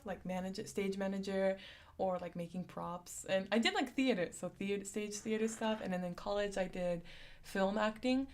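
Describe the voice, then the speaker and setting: A woman's voice: medium pitch, a little mellow. A young woman from San Diego with a lisp, chatting casually on a live stream, reading chat comments aloud and laughing often. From San Diego, USA.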